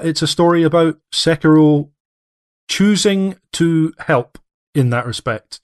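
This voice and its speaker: A man co-hosting a game podcast, thinking aloud and hedging with frequent fillers. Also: mid-range voice. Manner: thoughtful, hedging with many fillers. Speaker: podcast co-host. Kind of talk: casual game discussion.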